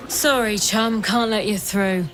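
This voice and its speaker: Blunt, slow-talking gate guard played by a woman. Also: mid-range voice, steady tone, fairly bright tone, faint music behind, close to an everyday voice. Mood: dismissive. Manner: blunt, dismissive. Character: gruff, stubborn, mocking female city gate guard. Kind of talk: video game character acting.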